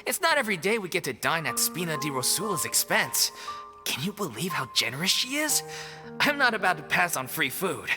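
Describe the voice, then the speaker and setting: An easygoing, jovial food lover in an anime game. His delivery is animated and unbothered, with big swings in pitch and faint music behind. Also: brisk. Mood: defensive, carefree. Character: easygoing, food-loving, good-humoured male stage actor.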